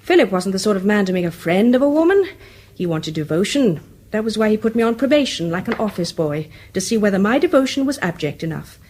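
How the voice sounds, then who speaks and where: An actress playing a composed, wry and candid imprisoned English novelist, with an educated accent and restrained, dry delivery in British radio drama style. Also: female voice, mid-range voice, very animated, fast talker, darkish tone, slight background noise, lightly acted. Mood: wry, bitter.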